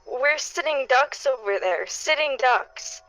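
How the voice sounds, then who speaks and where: A woman playing a desperate, pleading neighbour and parent in a radio play, with halting, stammering delivery. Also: high voice, expressive, fairly bright tone, faint music behind, heavily acted, poor audio. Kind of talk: dramatic radio play acting.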